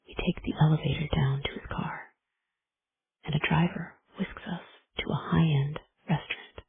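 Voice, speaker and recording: A woman narrating a first-person romance audiobook in a hushed, intimate whisper. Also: deep voice, steady tone, normal speed, creaky, slightly rough. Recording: tinny phone-like recording.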